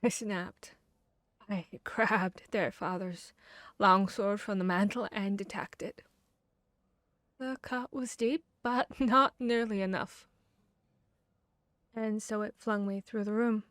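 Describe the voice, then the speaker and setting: Wry, wounded young female vampire hunter, acted by a woman, for fantasy ASMR roleplay; unhurried, confessional delivery, dry close sound. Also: medium pitch, fairly bright tone, no echo. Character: young woman vampire hunter confiding a traumatic past, wry and self-deprecating.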